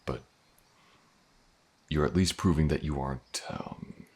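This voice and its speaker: A man playing a gruff, guarded but protective mercenary in a fantasy audio roleplay, halting and dry in his delivery. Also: a little mellow, exaggerated voice. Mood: gruff, hesitant. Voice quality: very deep gravelly husky.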